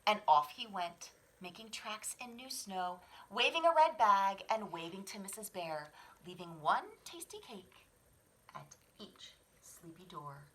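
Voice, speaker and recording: Woman, children's story reader, slow, bright, dramatic, hushed whispers and sudden swells. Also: mid-range voice, expressive, a little hiss or hum, poor audio. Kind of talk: expressive picture-book read-aloud.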